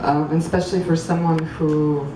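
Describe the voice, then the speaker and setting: A woman from Croatia reading poems at a public event, halting and thoughtful between poems and measured while reading. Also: sits low, little pitch movement. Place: outdoor public venue. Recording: echoey, far from mic, street noise.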